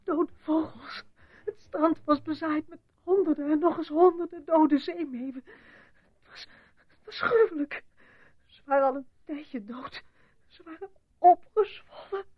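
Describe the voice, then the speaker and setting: An actress in a radio drama playing a shaken, distraught wife who speaks hesitantly and haltingly. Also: female voice, sits high, no room sound. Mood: horrified, tearful. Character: shaken, distraught wife recounting a gruesome holiday discovery. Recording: muffled radio play recording.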